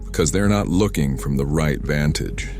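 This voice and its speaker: Very deep, gravelly brooding lord. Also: male voice, expressive, normal speed, over music. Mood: brooding, resolute. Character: brooding, obsessed medieval lord commanding a hunt. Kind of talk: audio drama acting.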